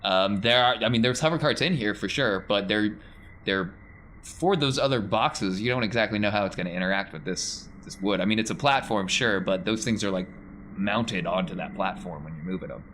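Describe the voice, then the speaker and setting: A man's voice: on the low side, fairly quick, fairly bright tone, slight background noise. A man acting as game master, casually narrating a tabletop game with playful asides. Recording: music behind the voice.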